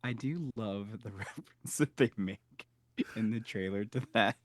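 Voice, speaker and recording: A man's voice: on the low side, measured pace, dry recording. Male podcast co-host, eager chatty talker, easy laugher, dry close sound. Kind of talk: casual chat.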